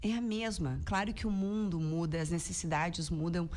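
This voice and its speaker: A woman's voice: on the low side, fairly even intonation, moderate pace. A Brazilian woman with a cold, a radio guest talking reflectively in casual chat, often ending phrases with a questioning tag. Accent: Brazilian Portuguese. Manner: warm, reflective, frequent "né?" tags. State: has a cold. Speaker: radio guest commentator on happiness. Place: radio studio.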